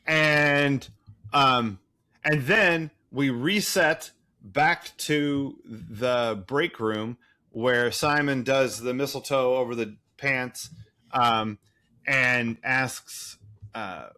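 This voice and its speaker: A man's voice: mid-range voice, extremely slow, bright, forceful tone. A man co-hosting a podcast, casually recapping a movie in a rambling, irreverent, profane way with wry, flippant asides. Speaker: podcast co-host.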